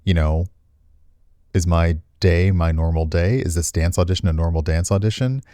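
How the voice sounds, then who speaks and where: A man's voice: deep voice, moderate pace, dark tone, dry recording, studio quality. A man reading visual novel text aloud expressively as a game streamer, slipping into casual commentary.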